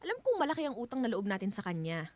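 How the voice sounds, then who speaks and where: A wary wife in a Filipino radio drama, pleads earnestly and insistently. Her voice sits on the high side and swings widely in pitch as she presses her point. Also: huge pitch swings, quick pace, a little mellow, no echo. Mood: worried, wary. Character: wary, nagging but polite wife.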